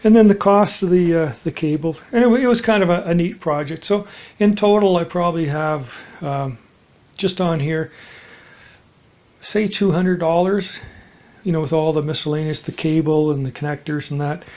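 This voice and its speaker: A man giving a casual, unscripted demonstration as a DIY hobbyist, halting and matter-of-fact, trailing off at times. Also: a little high, a little mellow.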